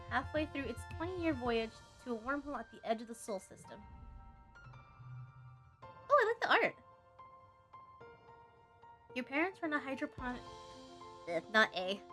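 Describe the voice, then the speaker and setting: A woman's voice: sits high, fairly bright tone. A woman game streamer chatting casually and reactively, reading on-screen text aloud and muttering asides to herself.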